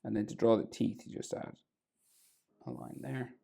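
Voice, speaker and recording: A man's voice: fairly low pitch, normal speed. Crackly-voiced man with a sore throat. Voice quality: gravelly, crackly. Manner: relaxed, thinking aloud, often trailing off to a near whisper. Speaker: drawing tutor. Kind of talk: casual drawing tutorial voice-over.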